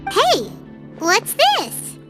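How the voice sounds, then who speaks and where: Brief: a tiny excitable fairy sidekick for a game, very high and lively, third-person talk. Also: female voice, exaggerated voice. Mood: curious. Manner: refers to herself in third person; excitable, quick to exclaim. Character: tiny chirpy female fairy companion. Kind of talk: video game cutscene voice acting. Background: game music soundtrack.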